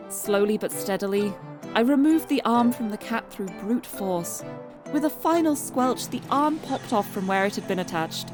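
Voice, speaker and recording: A woman streamer reading visual novel narration aloud, expressively and dramatically, with casual asides to viewers. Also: a little high. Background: music.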